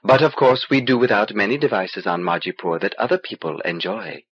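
A man reading an audiobook as a small, knowing alien wizard, dry, measured and cryptic. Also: fairly low pitch, animated, slightly rough, dry recording. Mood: matter-of-fact. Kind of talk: audiobook character dialogue. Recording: dull old cassette transfer.

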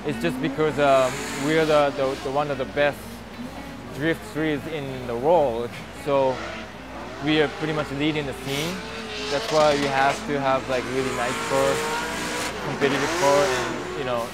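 A man in his thirties, a professional drift driver, giving a relaxed and halting outdoor interview on a lapel mic at a racetrack paddock. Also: mid-range voice, loud music behind the voice. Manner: relaxed, halting, many fillers. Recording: noisy outdoor racetrack recording.

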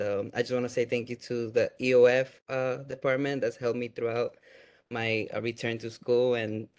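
A young man speaks in a flat, level voice, pausing often with ums and uhs. He gives short thanks over a video call through a webcam mic. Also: mid-range voice. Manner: hesitant, many fillers. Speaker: student award recipient. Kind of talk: brief thank-you remarks. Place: indoor room at home, on a video call.

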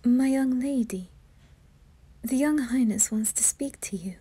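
A gentle demon maid performed by a woman speaks in a soft, breathy ASMR style. She is deferential and unhurried, with a slightly high pitch. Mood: gentle, attentive. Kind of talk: ASMR roleplay.

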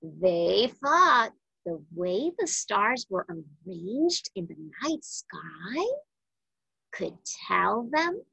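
A woman reading a story aloud to children with deliberate, dramatic phrasing. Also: fairly high pitch, gravelly, bright, forceful tone, breathy edge, no room sound, low-quality recording. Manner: deliberate, dramatic phrasing with questions to young listeners. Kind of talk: expressive scripted read-aloud for children.

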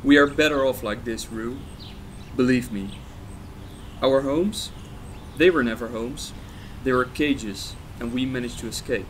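A man's voice: mid-range voice, a little slow, lightly acted. An actor playing a wounded, defensive young man, a runaway boyfriend in an audio drama. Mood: coaxing, casual. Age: young adult. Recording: faint noise with ambience behind.

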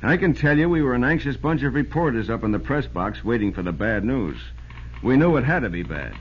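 An actor with an American accent playing an excitable sports reporter, narrating a yarn in a folksy way for old-time radio. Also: male voice, medium pitch, fairly quick, lightly acted. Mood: dramatic suspense. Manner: folksy, animated storytelling. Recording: noisy, dull old radio broadcast.

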